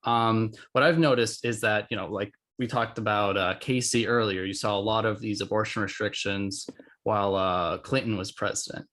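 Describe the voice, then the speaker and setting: A man hosting a casual podcast interview, speaking haltingly as he searches for words. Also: fairly low pitch, steady tone, a bit bright. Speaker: podcast host.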